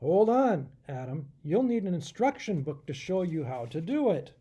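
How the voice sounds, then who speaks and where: A wise and kindly storybook king, read by a man. His voice is mellow and slightly husky, and he gives guidance in a measured, instructive manner. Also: sits high, big pitch swings, muffled recording, heavily acted. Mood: kindly guiding.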